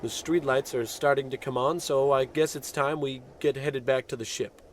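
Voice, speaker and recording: An actor playing a pompous, dim, blustering spaceship captain in an old-time radio comedy, commanding and self-important. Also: male voice, mid-range voice, steady tone, normal speed, slight background noise, lightly acted. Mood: solemn log narration.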